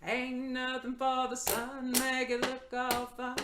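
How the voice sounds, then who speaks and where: A woman's voice: high voice, monotone, slow, over loud music. A woman singing in a musical theatre style, as a performer in a stage musical. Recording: noisy, roomy recording with clicks.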